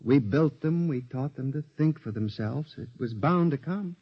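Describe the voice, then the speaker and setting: A man's voice: medium pitch, lively intonation, moderate pace, husky. A man acting a paranoid clerk, a psychiatric patient pleading earnestly and insistently in a 1950s radio drama. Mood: agitated, pleading. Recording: muffled vintage 1950s radio recording.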